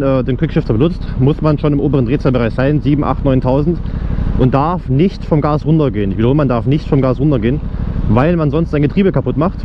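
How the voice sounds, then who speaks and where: A young man chatting casually while riding a motorcycle through town, rambling with lots of fillers and restarts, on a helmet headset mic. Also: on the high side. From Germany. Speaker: motovlogger. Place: on a motorcycle in city traffic, outdoors. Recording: muffled helmet mic with road noise. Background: motorcycle engine and traffic noise.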